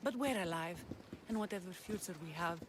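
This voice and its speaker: A woman's voice: on the low side, brisk, background noise, close to an everyday voice. An actress playing a guarded Greek woman hardened by danger in a video game, solemn and intense. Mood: grave, grateful. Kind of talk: video game cutscene acting.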